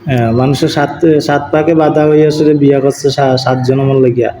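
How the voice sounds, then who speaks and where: A man's voice: mid-range voice, steady tone, fast talker. A man chatting casually on a recorded phone call. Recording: roomy, faintly noisy phone call recording.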